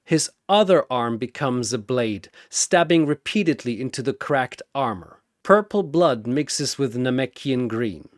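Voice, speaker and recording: A man's voice: mid-range voice, animated, measured pace, few pauses, dry recording, studio quality. A man narrating a scripted battle story in a theatrical, suspenseful manner.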